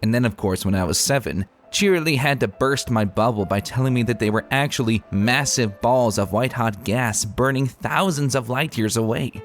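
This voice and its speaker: A man's voice: medium pitch, moderate pace, hardly any pauses, lightly acted. A man voicing a brash, playful young female pegasus pony with a breezy, cheeky manner in a fan fiction reading. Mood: musing, breezy. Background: music.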